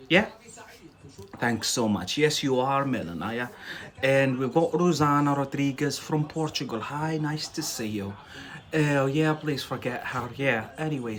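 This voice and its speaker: A young man, not a native English speaker, teaching English on a live stream, chatting casually with viewers, often laughing and tagging on "yeah?". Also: mid-range voice.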